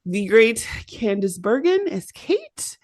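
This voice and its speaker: A woman's voice: fairly high pitch, big pitch swings, takes it slowly, a little mellow. A woman with a Midwestern accent, co-hosting a casual pop-culture podcast and laughing often. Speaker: podcast co-host.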